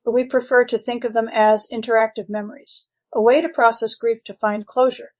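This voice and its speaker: A woman plays a grief-therapy doctor with a fairly high voice. She is composed and formal, reassuring and placating as she delivers her screenplay lines. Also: steady tone, brisk, natural voice. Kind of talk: acted screenplay reading.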